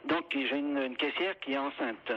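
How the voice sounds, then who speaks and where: A man's voice: mid-range voice, moderate pace. A man working as an interim supermarket manager, talking politely on the phone, agreeable and deferential, repeating things to confirm. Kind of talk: polite telephone conversation. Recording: dull, faintly noisy phone line.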